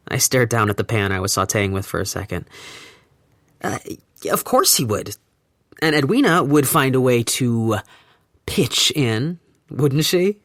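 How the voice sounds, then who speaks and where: Wry young narrator, reflective, gently amused, audio drama, mid pitch, dry close sound. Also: male voice, dry recording, heavily acted. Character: young man recounting his lockdown relationship.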